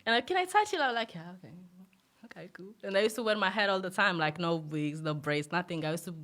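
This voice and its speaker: A woman's voice: on the low side, animated, fast, a bit bright. A young woman, born in Greece and raised in Romania, chatting easily as a guest with lots of fillers and laughter. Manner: easygoing, many fillers, laughs often. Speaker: guest musician. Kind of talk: casual interview chat.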